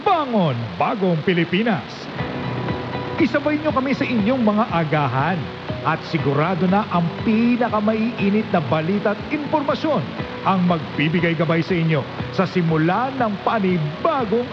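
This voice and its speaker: A man's voice: high voice, animated, moderate pace. A man reading scripted promos as a radio announcer. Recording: dull AM radio reception, loud music. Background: loud music.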